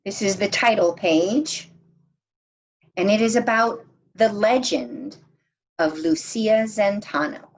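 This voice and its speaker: A woman reading a children's picture book aloud in a measured, expressive storytime manner, as if to young listeners. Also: mid-range voice, low-quality recording. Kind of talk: read-aloud storytime narration.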